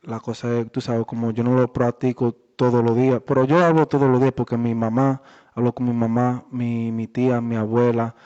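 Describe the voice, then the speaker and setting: Even-toned Dominican man, muffled echoey sound. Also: medium pitch, normal speed. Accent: Dominican-accented Spanish. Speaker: boxer, interview guest. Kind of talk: conversational interview answers, switching between Spanish and English. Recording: echoey, muffled room recording.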